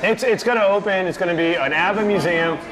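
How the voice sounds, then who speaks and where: A man with a high voice chats as co-host of a music podcast. His talk is casual and opinionated. He is emphatic, often repeating a phrase to press his point. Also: fast talker, crowd noise. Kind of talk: casual opinionated banter between co-hosts.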